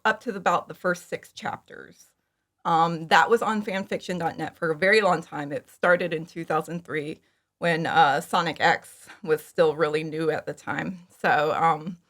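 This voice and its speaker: A woman's voice: fairly low pitch, fairly bright tone. A woman chatting casually as an interview guest about her own creative work. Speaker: interview guest, fan audio drama creator. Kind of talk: casual chat, telling how a project came about.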